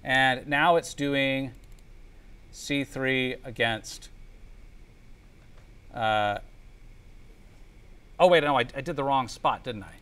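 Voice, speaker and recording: A man's voice: mid-range voice, slow, pauses often, fairly bright tone, smooth. A man giving a classroom lecture as a teacher, explaining step by step and often checking understanding with short tag questions. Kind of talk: classroom lecture with spreadsheet demonstration. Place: indoor classroom.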